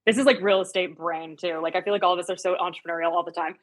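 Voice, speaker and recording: A woman speaks casually as a real estate agent being interviewed. Her voice sits a little low. She is chatty and emphatic, often repeating a word to drive it home. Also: fairly even intonation, rapid-fire. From United States and Germany.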